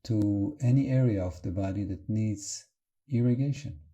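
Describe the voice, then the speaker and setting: A man leading an unscripted guided meditation as a teacher, calm and gentle, with halting phrases and long pauses. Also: lowish voice, highs cut off.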